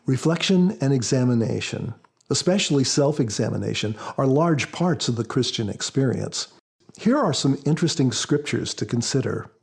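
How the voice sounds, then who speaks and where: Gravelly, husky man with a mellow tone. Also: medium pitch, animated, moderate pace. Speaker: author and podcast guest. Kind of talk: measured explanatory talk with scripture readings.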